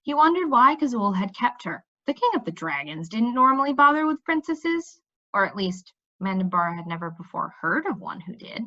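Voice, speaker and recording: Female storybook reader, bright and very lively in pitch, expressive delivery, slightly dull recording. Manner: animated, pausing to check in with listeners. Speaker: reader reading a children's book aloud. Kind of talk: expressive read-aloud storytelling.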